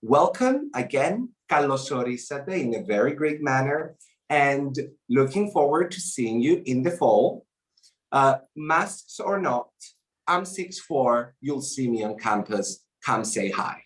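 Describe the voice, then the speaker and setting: A man's voice: medium pitch, sing-song, takes it slowly, poor audio. A man, a university professor, giving emphatic motivational advice to students in a webinar, often checking in with short tag questions.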